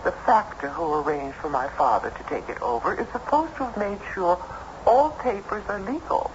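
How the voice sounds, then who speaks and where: A homely, matter-of-fact woman of a bygone century, played by a radio actress, talks in folksy old-time phrases. Her pitch rises and dips freely. The recording is an old, noisy drama broadcast. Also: sing-song, normal speed, lo-fi sound, close to an everyday voice. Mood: polite, hospitable. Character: plain-spoken, blunt, homely woman householder of old Boston. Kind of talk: 1970s American radio drama acting.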